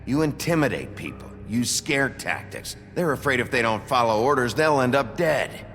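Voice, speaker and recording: Gruff lawman, gravelly, lively intonation, blunt, sardonic, echoing hall, video game. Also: medium pitch, moderate pace. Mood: confrontational. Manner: terse, sardonic, confrontational. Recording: echoing hall sound with faint music.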